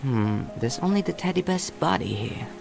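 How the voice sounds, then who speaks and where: A man's voice: medium pitch, expressive, normal speed, vocal fry, music in the background. A man playing an earnest, caring young country boy and protective older brother, narrating reflectively in a video game. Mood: determined.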